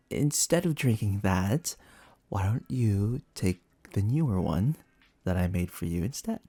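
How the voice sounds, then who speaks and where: A man's voice: low-pitched, darkish tone, full character voice. A young man playing a flustered, protective barista who is secretly a time agent, in an ASMR sci-fi roleplay, speaking with stammers. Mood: flustered, friendly. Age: young adult. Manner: stammering, breathy sighs and nervous laughs. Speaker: roleplay actor.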